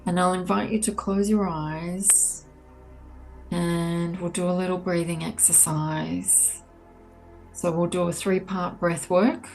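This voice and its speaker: A woman leading a guided meditation, speaking gently and in a measured way with long pauses between soothing instructions. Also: on the low side. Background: soft ambient meditation music.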